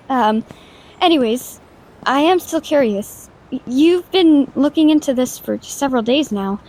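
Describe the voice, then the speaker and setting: A woman's voice: sits high, low-quality recording. A woman voicing a shy, awkward young female ghost in an intimate audio drama, stammering, hesitant and apologetic, anxious but kind. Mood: nervous, concerned. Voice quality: soft stammer. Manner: hesitant, apologetic, self-doubting, rambling.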